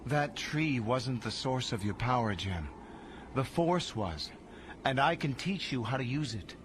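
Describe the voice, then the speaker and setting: An actor playing a resolute, heroic young Jedi master in an audio drama, earnest and confident. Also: male voice, medium pitch, animated, a little slow, a little hiss or hum. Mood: calm, earnest. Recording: loud music over the voice.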